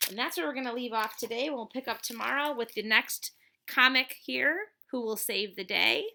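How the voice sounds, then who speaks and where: A woman's voice: fairly high pitch, moderate pace, vocal fry, bright, forceful tone, a little hiss or hum. A woman reading a children's storybook aloud as a teacher would, expressively and with chatty asides.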